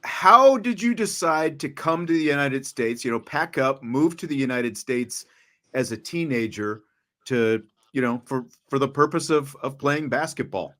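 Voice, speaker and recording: A man's voice: medium pitch, lively intonation, normal speed, sharp tone. A man interviewing a young athlete in a chatty, conversational way, often restarting and repeating words. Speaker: sports broadcaster, interviewer.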